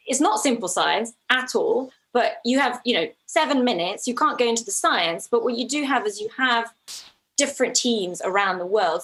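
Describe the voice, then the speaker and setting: A woman's voice: medium pitch, fairly bright tone, rough recording. A woman from Ukraine speaking as an interview guest, a documentary filmmaker who answers conversationally and thoughtfully, often restarting her phrases.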